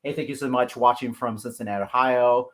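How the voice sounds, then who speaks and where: A man's voice: medium pitch, steady tone, a little fast, fairly bright tone. Upbeat American man hosting a livestream. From Pacific Northwest, US. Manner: upbeat, effusive, many fillers. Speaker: host. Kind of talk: livestream talk show hosting.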